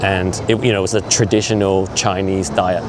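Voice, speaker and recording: A man's voice: deep voice, level tone, a little fast. A man in his fifties vlogging as he walks outdoors on a city street, talking in an earnest, opinionated, conversational way with frequent asides. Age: 50s. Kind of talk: casual walking vlog monologue. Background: traffic and urban street noise.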